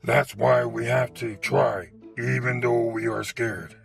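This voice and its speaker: A man voicing a gravelly father dragon. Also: fairly even intonation, slow, faint music behind. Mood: stern, fatherly. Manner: deep, gravelly, stern. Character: big brave protective father dragon. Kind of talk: storybook reading.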